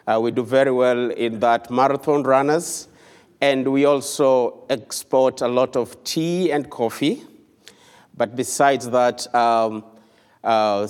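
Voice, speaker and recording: A man with a Kenyan accent speaks to a congregation at a middle pitch, earnest and conversational with a light touch of humor. His voice rings out with a long reverb through a large church hall. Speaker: visiting missionary speaker. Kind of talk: church mission report. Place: church sanctuary.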